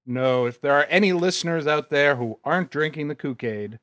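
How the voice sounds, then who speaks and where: A sardonic talk radio host, acted by a man, with a fairly high, forceful voice. He is punchy and dismissive, recorded dry and close. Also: fairly quick, dry recording, close to an everyday voice. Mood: sardonic, mocking. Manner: sarcastic, punchy.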